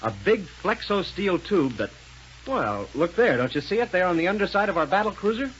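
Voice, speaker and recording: A man playing a brisk, folksy space adventurer hero in a 1930s radio serial. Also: high voice, very animated, a bit bright, soft background music. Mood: eager, explaining. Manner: folksy, decisive. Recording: muffled, noisy 1930s radio recording.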